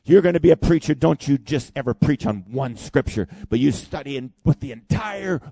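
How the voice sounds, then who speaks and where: A man's voice: mid-range voice, animated, normal speed, vocal fry, breathy edge, dry recording. A man voicing his big, stern Bible college teacher from years ago, scolding a student. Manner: shouting, table-banging scolding.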